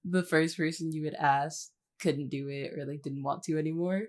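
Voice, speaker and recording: Female podcast co-host, deep register for a woman, informal, hesitant, self-deprecating delivery. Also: steady tone, normal speed. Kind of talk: casual chatty behind-the-scenes discussion.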